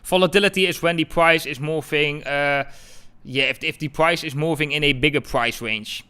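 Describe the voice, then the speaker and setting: A man's voice: a little high, moderate pace, bright, forceful tone, clean tone. A man from the Netherlands with a Dutch accent, hosting a live crypto trading stream and answering viewers in a chatty way. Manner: chatty, keeps calling viewers "guys". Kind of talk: live-stream chart talk, answering chat.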